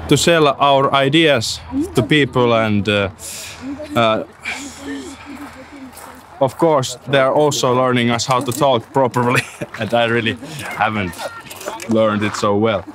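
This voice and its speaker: A man's voice: mid-range voice, steady tone, slow pace, pauses often. Young Finnish man, hesitant casual interview, noisy crowded outdoor event. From Finland. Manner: hesitant, many fillers, non-native phrasing. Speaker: startup student. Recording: crowd noise around. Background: crowd noise.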